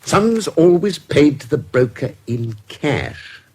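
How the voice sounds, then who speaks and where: Wry British doctor-detective, very animated. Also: male voice, fairly high pitch, slow pace, husky, exaggerated voice. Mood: brisk, analytical. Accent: British English. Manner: donnish, precise, dryly humorous. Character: wry, learned male doctor-detective, genially pedantic. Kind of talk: BBC radio drama acting.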